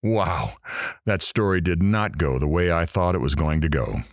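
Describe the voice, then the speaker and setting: A man's voice: sits low, normal speed, dry recording, studio quality. A man playing a chatty, joking radio talk show host in an audio drama. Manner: animated, joking.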